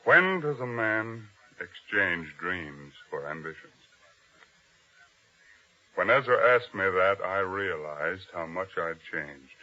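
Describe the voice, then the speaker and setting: An actor playing a grave, resolute officer of a biblical king in a 1950s radio drama, speaking solemnly and deliberately. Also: deep voice, pushed and bright, heavily acted. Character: repentant Roman-era officer of King Herod, grave and resolute. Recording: dull old radio broadcast recording.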